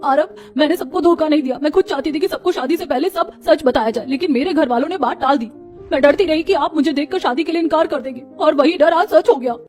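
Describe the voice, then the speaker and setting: A woman's voice: high voice, fast talker, a little mellow, soft background music, lightly acted. A woman playing a hurt, earnest young daughter-in-law with a plaintive manner in a cartoon. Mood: pleading, hurt.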